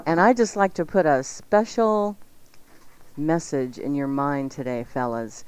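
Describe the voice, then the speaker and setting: Woman, low pitch, smooth clear voice, casual co-host, chatty, informal presenting. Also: a little slow, a little mellow. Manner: chatty, addresses viewers informally. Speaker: cooking show co-host.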